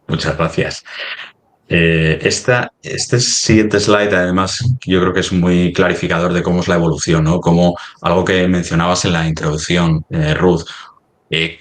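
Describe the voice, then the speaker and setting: A man with a Spanish accent from Spain presenting in a semi-formal webinar discussion, haltingly searching for his words. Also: low voice.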